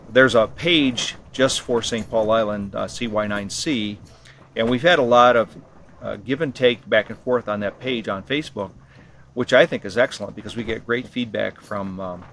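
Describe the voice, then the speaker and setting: A man giving unscripted explanations in an interview, halting and matter-of-fact in his delivery. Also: fairly low pitch, a bit bright. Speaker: expedition team member being interviewed. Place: ham radio operating station on a remote island. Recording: clear background noise, on-site ambience.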